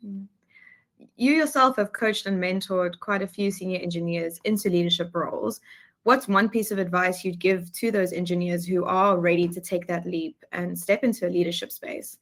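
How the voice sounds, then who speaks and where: Fairly low-voiced woman hosting a Q&A. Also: fairly even intonation, moderate pace. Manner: affirming, with soft back-channel murmurs. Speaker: interview host. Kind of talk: live Q&A interview.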